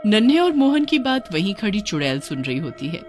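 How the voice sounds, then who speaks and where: A woman's voice: mid-range voice, sing-song, normal speed, a little mellow, faint music behind. A woman narrating a scripted cartoon story.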